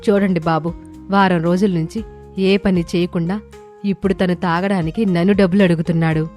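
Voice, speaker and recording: An aggrieved village wife played by a woman in a storybook tale, firm and complaining. Her voice is dropped lower, with music behind it. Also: fairly even intonation, a little fast, a little mellow, natural voice. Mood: indignant. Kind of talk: character voice in a moral story.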